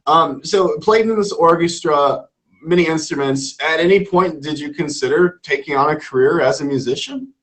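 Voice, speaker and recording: A man's voice: a little high, moderate pace, a bit bright, rough recording. A man hosting an interview show, asking questions in a playful, joking way. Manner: upbeat, playful, jokey. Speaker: host. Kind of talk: casual interview.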